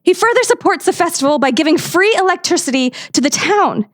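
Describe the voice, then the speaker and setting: High-pitched, earnest young woman played by an actress. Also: lively intonation, brisk, dry recording, clean studio sound. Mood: defiant, determined. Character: earnest, defiant, naive young woman determined to fight. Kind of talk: radio drama acting.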